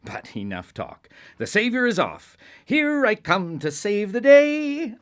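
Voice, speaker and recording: A man's voice: high-pitched, wildly swooping pitch, slow, fairly bright tone, full character voice. A man playing a hapless, self-important savior of souls in a radio play, talking to himself in a grandiose, theatrical way. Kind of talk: live radio play acting.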